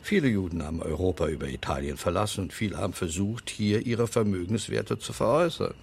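Old male lawyer for radio crime play: gravelly, lowish, formal, guarded, drily stern. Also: darkish tone, slight background noise. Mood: stern, pointed. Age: older. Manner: measured, formal, pointed.

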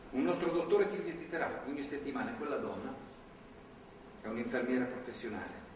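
An actor playing a fervent, controlling middle-aged priest in a stage drama, speaking in a measured, preachy, paternalistic way. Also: male voice, medium pitch, close to an everyday voice. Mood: quiet, paternal. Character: fervent, controlling anti-abortion priest holding a woman captive. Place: theatre stage. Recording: echoey theatre hall, distant mic, faint noise.

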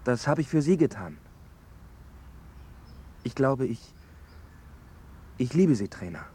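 An earnest young footballer in a radio play. The voice is mellow and husky, at a middling pitch for a man. He sounds hesitant and lovestruck, with naturalistic delivery. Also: sing-song, slow pace, exaggerated voice. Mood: tender, nervous. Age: young adult. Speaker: character in dialogue. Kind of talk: naturalistic radio drama dialogue.

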